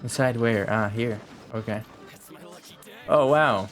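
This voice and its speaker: A man's voice: mid-range voice, takes it slowly, faint music behind. A young man giving casual, slangy live commentary while streaming a video game, muttering asides to the game.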